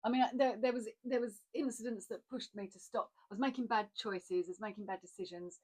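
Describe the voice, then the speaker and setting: A woman's voice: a little high, fairly quick, a little mellow, breathy edge. A woman co-hosting a casual chat about acting, talking candidly and reflectively, often restarting her sentences. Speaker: podcast co-host.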